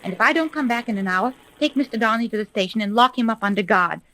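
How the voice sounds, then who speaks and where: A woman playing a brisk, firm and composed private investigator who takes charge in a 1930s radio mystery serial. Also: on the high side, expressive, fairly bright tone. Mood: commanding. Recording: noisy 1930s radio recording.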